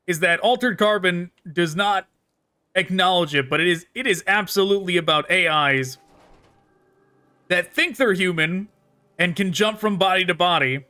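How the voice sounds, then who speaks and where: A man's voice: high voice, expressive, normal speed, pushed and bright. A man giving casual, rambling gameplay commentary as a video game streamer. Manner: rambling, musing.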